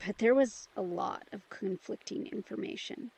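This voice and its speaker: A woman playing a soft-hearted, pleading young vampire in an audio drama, speaking haltingly and emotionally. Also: medium pitch, vocal fry, breathy edge, low-quality recording. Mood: subdued, explaining. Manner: halting, emotional, breaks sentences off. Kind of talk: immersive audio drama acting.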